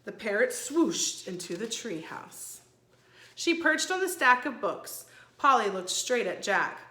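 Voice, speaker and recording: A woman teacher reading a children's story aloud expressively, pausing to explain things to young listeners. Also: on the high side, big pitch swings, pushed and bright. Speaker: first grade teacher. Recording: echoey room.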